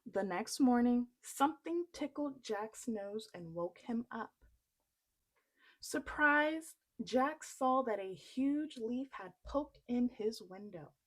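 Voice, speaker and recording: A woman reading a children's story aloud in an expressive storytelling style. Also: on the high side, slow pace, rough recording.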